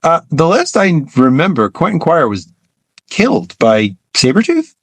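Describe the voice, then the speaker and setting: A man's voice: on the high side, wildly swooping pitch, measured pace, dry recording. A man co-hosting an interview, speaking casually and conversationally. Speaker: interview show co-host.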